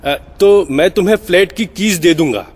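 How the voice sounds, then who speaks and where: An actor playing an anxious, exasperated man who tells his eerie story in a horror audio drama, halting and repeating words for suspense. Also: high-pitched, noisy background, natural voice. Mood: casual, concerned. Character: anxious, exasperated male flat owner recounting eerie events. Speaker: narrator and lead of a horror story.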